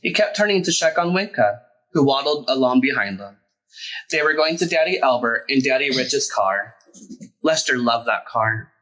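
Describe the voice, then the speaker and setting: A man reading a children's picture book aloud as a storyteller, in an expressive, deliberate way with emphasized words. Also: mid-range voice, poor audio. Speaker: storyteller, drag performer.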